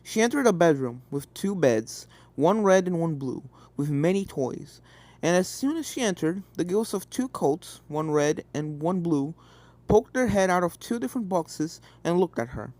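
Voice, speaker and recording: A woman's voice: lowish voice, animated, moderate pace, a little mellow, dry recording. A woman narrating a scripted story expressively. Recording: music behind the voice.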